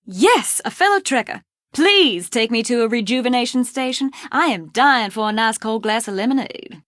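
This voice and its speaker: An eager, grateful woman guest in a game. Her voice is fairly high, with a close, dry sound. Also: expressive, normal speed, fairly bright tone, no echo. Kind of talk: game voice acting.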